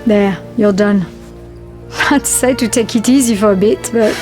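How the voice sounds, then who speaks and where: A woman playing a brisk, businesslike Resistance fighter in a video game cutscene. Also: mid-range voice, darkish tone, soft background music, natural voice. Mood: brisk, practical.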